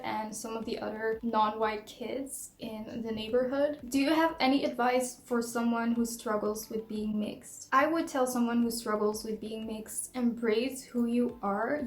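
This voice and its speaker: A young woman from the Netherlands, vlogging in an earnest, reflective way as she reads out questions and answers them. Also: a little high. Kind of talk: casual tag video, answering questions to camera. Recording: echoey room.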